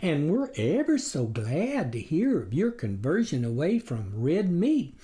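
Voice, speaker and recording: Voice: mid-range voice, huge pitch swings, unhurried, dark tone. Seseorang memerankan istri petani desa, intonasinya lincah. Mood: politely wary. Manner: homely, earnest country talk. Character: rustic farmer's wife, earnest, trusting yet questioning.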